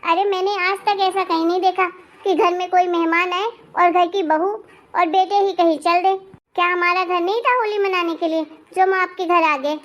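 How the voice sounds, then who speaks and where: A woman plays a young married daughter in a cartoon family drama, extremely high in pitch. She is hot-tempered and sulky, with a sharp, demanding tongue, and stays in a narrow band of notes. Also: steady tone, normal speed. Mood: angry, indignant. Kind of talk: animated family drama dialogue.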